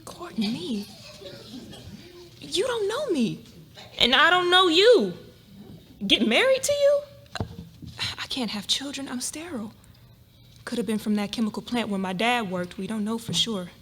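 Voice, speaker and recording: Skeptical, independent young woman, acted by a woman, higher-pitched, expressive, colloquial, live stage reading with faint noise. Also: unhurried, pauses often. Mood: incredulous. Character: wary, independent young female college graduate being courted. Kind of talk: live dramatic reading of a novel. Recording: noisy live room recording.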